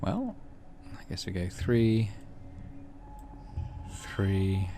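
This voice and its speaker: A man's voice: sits low, big pitch swings, extremely slow, noisy background. A man hosting a game playthrough, thinking aloud casually and narrating story text. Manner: thinks aloud, hesitant fillers. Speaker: let's play host.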